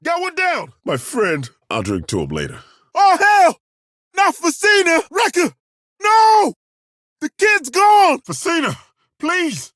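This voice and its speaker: An actor playing a gruff, folksy middle-aged male dwarf fighter with a dry, wry, plainspoken way of talking, in video game voice acting. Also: extremely high pitch, wildly swooping pitch, slow, fairly bright tone, dry recording, heavily acted. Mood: battle roaring. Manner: dry, wry and plainspoken, with roaring battle cries. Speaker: party companion.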